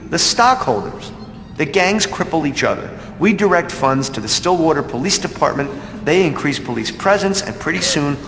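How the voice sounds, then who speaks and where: A man's voice: mid-range voice, fairly bright tone. A man voicing a smug, ambitious corporate executive in a video game, with a polished, arrogant salesman's delivery. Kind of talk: video game cutscene acting. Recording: echoey hall, faint background noise.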